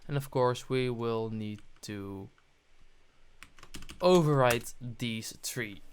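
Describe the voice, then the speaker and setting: A man's voice: medium pitch, takes it slowly, slight background noise. A man presenting a programming tutorial, narrating his steps on screen as he goes.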